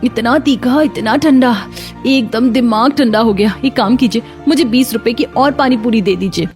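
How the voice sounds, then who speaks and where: A woman voicing a delighted young college-girl customer in a cartoon story, excited and gushing. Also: on the high side, moderate pace, soft background music, lightly acted. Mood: delighted, curious.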